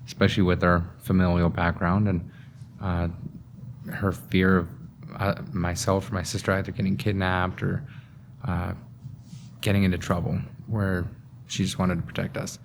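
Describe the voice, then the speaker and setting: A young man from Afghanistan giving a personal account in a documentary interview. He speaks slowly and haltingly, with pauses and restarts. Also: low-pitched, little pitch movement, background noise.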